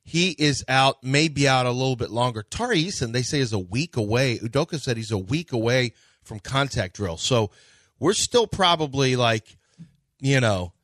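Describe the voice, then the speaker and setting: A man's voice: mid-range voice, animated, normal speed, a bit bright, no room sound, studio quality. A man hosting a sports talk radio show, rambling and joking, often restarting his sentences.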